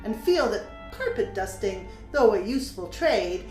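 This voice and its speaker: A woman playing an idealistic, passionate young poet in a dramatic audiobook, with emphatic, deliberate phrasing. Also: mid-range voice, very animated, darkish tone. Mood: impassioned. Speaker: audiobook performer. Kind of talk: dramatic poetic audiobook performance. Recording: roomy sound with music behind. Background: music.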